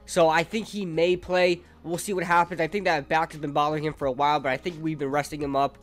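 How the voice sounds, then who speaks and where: A young man with a fairly high voice talks through a football preview in a chatty, fan-like way, dotted with fillers. He sounds stuffy and nasal from a cold. Faint music plays underneath. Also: little pitch movement, quick pace. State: congested from a cold. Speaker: sports channel host. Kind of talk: casual football preview talk to camera.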